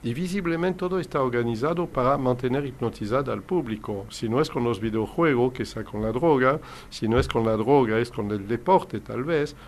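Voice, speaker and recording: A man's voice: medium pitch, moderate pace, few pauses. An older man who speaks Spanish as a second language, a hypnotherapist explaining his work in an earnest, lecturing way during an interview. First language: not Spanish. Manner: earnest, explanatory, non-native Spanish phrasing. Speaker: hypnotherapist guest.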